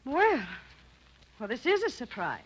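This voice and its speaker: A woman's voice: high-pitched, wildly swooping pitch, a little slow, exaggerated voice, rough recording. A haughty, sarcastic wife from a vintage radio drama. Her voice swings widely as she delivers mock-polite, scornful lines with poise. The old recording carries a faint hiss. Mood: icy sarcasm.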